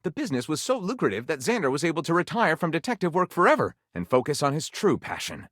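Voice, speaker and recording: A man's voice: fairly high pitch, big pitch swings, fast talker, a bit bright, no room sound, studio quality. A man playing a theatrical, tongue-in-cheek narrator of pulpy detective tales in a video game, arch and savoring every dramatic turn.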